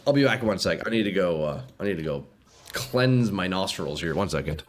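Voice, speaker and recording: Young male streamer, congested, relaxed slangy chat, pitch bounces a lot. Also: a little fast. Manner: laid-back and slangy, calls viewers bro and dude. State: stuffy nose. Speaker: game streamer. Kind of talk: casual live-stream chat with viewers.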